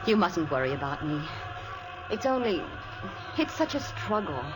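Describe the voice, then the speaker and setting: A woman's voice: lowish voice, sing-song, normal speed, poor audio. An actress playing a tired, devoted wife soothing her husband, in a 1940s radio drama. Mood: weary. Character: weary devoted wife in a swamp. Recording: old radio drama with music.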